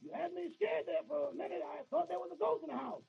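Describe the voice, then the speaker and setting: A man playing an anxious, deferential servant in a 1940s radio comedy, with a gravelly voice. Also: very high voice, quick pace, full character voice. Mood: concerned. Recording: muffled old radio recording.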